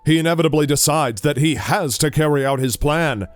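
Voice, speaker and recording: A man's voice: fairly high pitch, a little fast, no room sound, soft background music. A man reading scripted narration for an audio drama.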